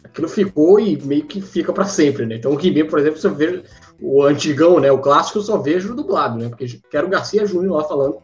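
A man's voice: a little high, animated, quick pace, darkish tone, faint music behind. A man with a Brazilian Portuguese accent, co-hosting a casual chat and sharing trivia with lots of fillers. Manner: chatty, shares trivia with frequent fillers. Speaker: podcast co-host. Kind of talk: casual chat about cartoons. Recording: roomy, muffled mic.

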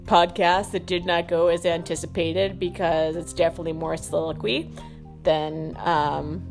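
A woman's voice: lowish voice, little pitch movement, moderate pace, gravelly, over music. Rambling woman podcast host. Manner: rambling, self-deprecating. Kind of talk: casual stream-of-consciousness monologue.

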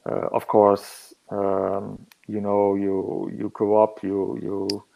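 Man, 40s, low gravelly delivery, slow, halting and reflective. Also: completely flat delivery, narrow, tinny audio. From northern Netherlands. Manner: halting, searching for words. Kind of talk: reflective interview answers.

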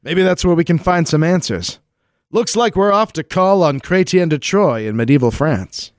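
A man's voice: fairly high pitch, expressive, normal speed, no room sound, studio quality, close to an everyday voice. A man playing a jaunty, pun-loving time-travelling investigator with old-time patter in a 1940s-style radio play. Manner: old-time adventurer patter, calling others "pal" and "friend".

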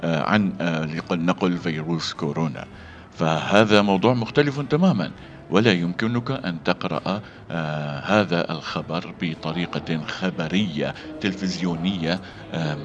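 A man teaching a voice-over lesson, halting with fillers and restarts between read-aloud demonstrations. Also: low-pitched. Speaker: voice acting coach. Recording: dull lo-fi sound with faint music.

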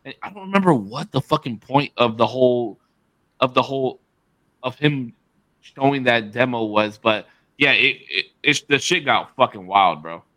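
A man's voice: medium pitch, animated, moderate pace, pushed and bright, dry recording. A man co-hosting a podcast, talking loosely and profanely, rambling and restarting mid-sentence. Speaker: podcast co-host. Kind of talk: casual chat.

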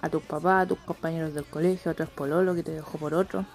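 Creaky, mellow Chilean schoolgirl played by a woman. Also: sits low, steady tone, fast, soft background music. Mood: cynical, bossy. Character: brash teenage schoolgirl coaching a dramatic suicide. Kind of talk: radio play acting.

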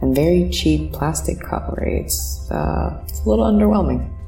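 A woman plays a politely unimpressed female passenger in a sci-fi audio drama. Her voice is low and mellow, with a dry, hesitant, understated delivery. It sounds roomy and slightly distant, with music behind. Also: expressive, normal speed. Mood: wry resignation.

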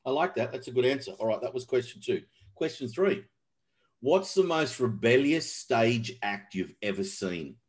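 Podcast host, male, playful and excitable, jokes constantly. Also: medium pitch, moderate pace. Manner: jokey, excitable, laughs a lot. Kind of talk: casual interview.

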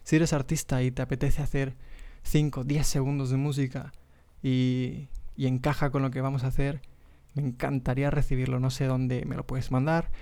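A man's voice: mid-range voice, flat delivery, moderate pace, warm tone. A young man from Mexico who speaks with a Spain Spanish accent, hosting his own podcast in a casual, hesitant monologue full of fillers and restarts, recorded on a podcast microphone. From Mexico (Monterrey). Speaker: podcast host.

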